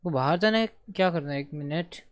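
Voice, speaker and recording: Very fast-talking Indian game streamer. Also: medium pitch. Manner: muttering to self, questioning, mixes Hindi and English. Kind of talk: casual live game commentary. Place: live game stream with game music behind.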